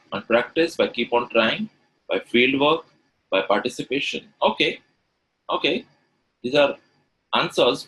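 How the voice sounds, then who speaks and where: A man's voice: mid-range voice, a bit bright, low-quality recording. A middle-aged man with an Indian English accent, a native Gujarati speaker, teaching an online webinar over a video call and asking his audience rhetorical questions that he repeats. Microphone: video call microphone.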